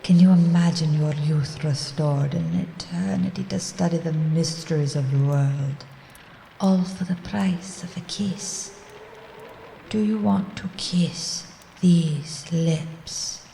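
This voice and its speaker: A seductive vampire woman, acted by a woman, coaxes in a very low, mellow voice. The formal radio play performance floats in long hall reverb. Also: fairly even intonation, slow, vocal fry, a little hiss or hum, heavily acted. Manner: coaxing, formal. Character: seductive female vampire temptress.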